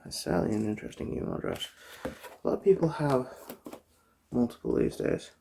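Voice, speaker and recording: A soft-spoken, often whispering person doing an ASMR eye exam roleplay, chatting gently and politely without hurry, close to the microphone. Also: mid-range voice, wildly swooping pitch, creaky, a little hiss or hum. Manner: gentle, unhurried, polite chatty bedside patter. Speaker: ASMR performer playing an eye doctor.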